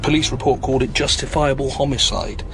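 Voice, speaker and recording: A man's voice: medium pitch, quick pace, a bit bright, a little hiss or hum, lightly acted. An actor playing a plain-spoken, wry male local policeman in a radio drama, matter-of-fact and familiar. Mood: dry, matter-of-fact.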